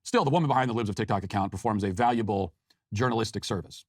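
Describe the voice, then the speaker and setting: A man's voice: on the low side, fast talker, no room sound, clean studio sound. A man delivering a scripted, smug commentary monologue from a played clip.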